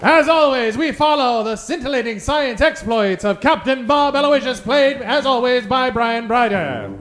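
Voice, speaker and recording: A man announces in a very high-pitched, declamatory voice, like the host of an old-time radio serial. His delivery is grandiose and mock-dramatic, every phrase staged as a theatrical introduction. Music plays behind him. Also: animated, normal speed.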